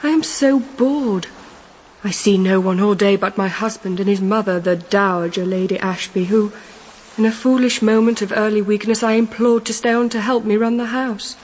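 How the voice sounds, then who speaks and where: A woman's voice: mid-range voice, steady tone, normal speed, darkish tone, slight background noise, lightly acted. An actress playing a bored, spoilt young wife reading her letter aloud, petulant and melodramatic. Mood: petulant, pleading. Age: young adult. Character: Lady Ashby, bored, spoilt, imperious young aristocratic wife. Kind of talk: period drama letter reading in character. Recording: long hall-like reverb.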